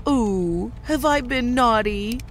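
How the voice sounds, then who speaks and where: A woman's voice: fairly high pitch, animated, takes it slowly, slight background noise. A woman playing a gleeful, sinister female alien posing as an office worker in an audio drama, with a mock-innocent, taunting delivery. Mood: mocking menace.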